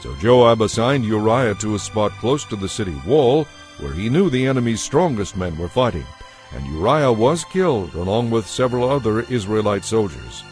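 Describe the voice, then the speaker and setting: A man narrating a dramatized audio Bible from a script, in a measured storytelling manner. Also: lowish voice, big pitch swings, a little mellow, faint music behind.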